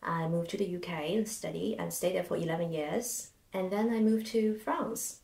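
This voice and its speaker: A woman in her thirties from China, co-hosting a podcast and speaking in a soft, hesitant way. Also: on the low side, normal speed, creaky, distant mic. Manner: soft, hesitant, laughs easily. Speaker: podcast co-host. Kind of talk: casual podcast conversation. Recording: echoey room, set back from the mic.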